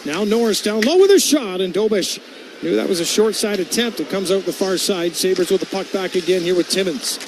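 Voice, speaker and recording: A North American man calls hockey play-by-play in a notably high voice. He speaks as a live radio commentator, with clear noise from the arena around his booth. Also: a little fast.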